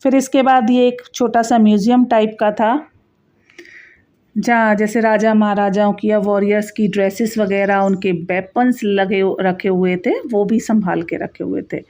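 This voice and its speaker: A woman's voice: medium pitch, steady tone, a little slow, dark tone. A woman giving a casual, chatty travel vlog voice-over, explaining sights directly to viewers. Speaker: travel vlogger narrating a sightseeing tour. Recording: dull, muffled lo-fi sound.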